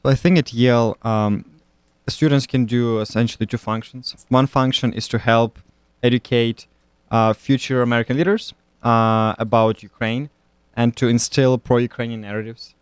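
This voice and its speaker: Smooth-voiced young man, hesitant. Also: mid-range voice, steady tone, no room sound. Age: young adult. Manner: deliberate, hesitant, many fillers. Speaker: student guest. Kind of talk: radio interview answers. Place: radio studio.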